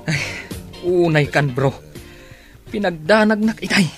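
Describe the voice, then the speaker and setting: An excitable young man fretting over his friend. His voice sits on the high side and he speaks with an Ilocano Filipino accent. The delivery is animated, exclamatory radio drama. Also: unhurried, faint music behind. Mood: relieved, teasing. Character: excitable, worried young male friend of an injured surfer.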